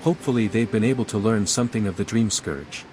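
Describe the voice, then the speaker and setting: Male RPG protagonist, actor-played: composed, terse, lowish register, reverberant sound. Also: quick pace, darkish tone, a little hiss or hum, lightly acted. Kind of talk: video game dialogue acting. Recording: echoey hall reverb over music.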